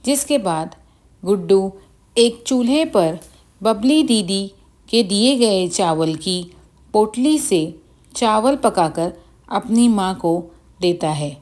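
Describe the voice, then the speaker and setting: A woman's voice: medium pitch, lively intonation, slow, pauses often, a little mellow. A woman narrating a scripted children's bedtime story as the storyteller.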